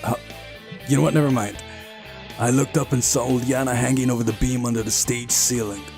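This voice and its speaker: A man's voice: medium pitch, steady tone, a little fast, over music. A man hosting a visual novel playthrough, reading the game's narration aloud and adding casual commentary.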